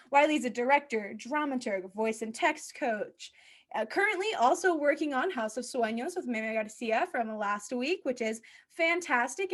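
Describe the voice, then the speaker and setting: A woman's voice: high-pitched, a bit bright. A woman hosting a podcast interview in a casual, chatty and informal way, with plenty of slangy filler. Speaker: podcast host and children's theater director.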